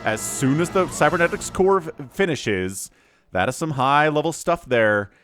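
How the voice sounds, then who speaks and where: A man's voice: a little high, normal speed. A man casting a strategy video game replay in a casual, jokey play-by-play style, chatting between the action. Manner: animated, chatty, jokey, with laughs and hummed fillers. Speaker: game shoutcaster.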